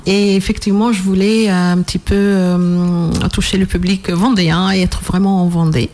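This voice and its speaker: A woman's voice: medium pitch, little pitch movement, normal speed, a little mellow. A woman in her forties from near Jerusalem, with a Palestinian accent, answering questions as a radio interview guest in a hesitant way with frequent fillers. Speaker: guest, language trainer.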